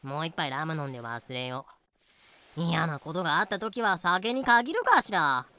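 A woman's voice: deep voice, expressive, normal speed, bright, forceful tone, full character voice. A woman playing a haughty, flippant, hard-drinking young daughter who captains a ship in an anime-style voice drama. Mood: disgusted, sulky.

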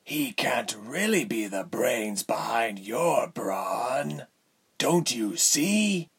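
A man voicing a condescending villain lord in a fantasy story, measured and smooth in manner with a breathy, husky tone. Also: medium pitch, lively intonation, voice pushed up, exaggerated voice. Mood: persuasive. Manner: measured, silky, haughty.

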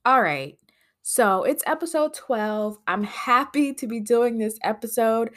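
A woman's voice: fairly high pitch, expressive, measured pace. A woman hosting her own podcast in casual, rambling chat, her voice nasal from congested sinuses. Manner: chatty, rambling with frequent fillers. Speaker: podcast host.

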